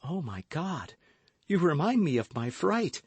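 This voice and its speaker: Man putting on a high, pleading young maidservant, Kitty. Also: big pitch swings, a little slow, husky, dry recording, exaggerated voice. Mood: anxious. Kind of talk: audiobook character acting.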